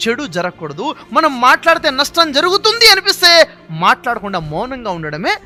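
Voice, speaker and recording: High-pitched, animated male motivational speaker. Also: normal speed, faint music behind. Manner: emphatic, repetitive, rhetorical questions. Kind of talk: motivational speech with moral storytelling.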